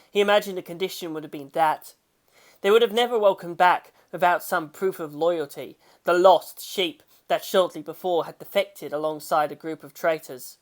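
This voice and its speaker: A woman reads scripted fiction aloud in a voice pitched somewhat low for a female narrator. Her delivery is theatrical, moving between emphatic pushes and hushed, lingering phrase endings, in the manner of a dramatic audiobook. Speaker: audiobook-style reader of a fan story.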